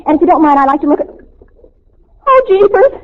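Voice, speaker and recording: A woman's voice: extremely high pitch, very animated, a little fast, faint music behind. A woman playing a gushing, dreamy, star-struck teenage girl in 1940s radio comedy style, flustered and melodramatic. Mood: flustered eager. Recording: old narrowband radio recording.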